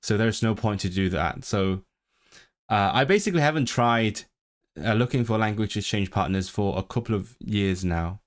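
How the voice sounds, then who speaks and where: A man's voice: lowish voice, moderate pace. A man whose first language is Cantonese, chatting casually on his livestream, rambling with lots of restarts and self-deprecating asides. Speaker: language-learning streamer. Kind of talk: casual live-stream chat.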